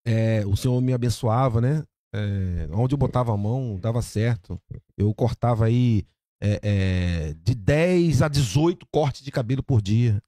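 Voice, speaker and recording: A man from Brazil, a barber and podcast guest, telling his story in lively casual chat, repeating words and acting out quoted dialogue. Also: mid-range voice, a little slow, dry recording, studio quality.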